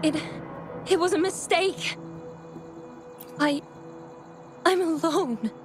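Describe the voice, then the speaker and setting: Stammering, very high-voiced young painter. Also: female voice, takes it slowly, over music. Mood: distraught, guilty. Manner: stammering, fragmented. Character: shaken, guilt-ridden young painter, faltering. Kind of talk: video game voice acting.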